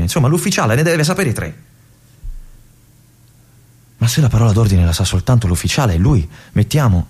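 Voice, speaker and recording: An actor playing a young army lieutenant at a remote fortress in a theatrical radio monologue, introspective and questioning himself, sometimes dropping to a whisper. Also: male voice, mid-range voice, a little mellow, husky.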